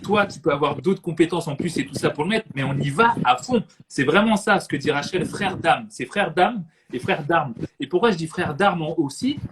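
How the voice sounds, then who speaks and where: An animated man hosting a live chat, laughing often and repeating himself as he talks. His voice sits slightly high, and a steady hiss of noise runs under the live-stream audio. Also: moderate pace, few pauses. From French Caribbean. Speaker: host.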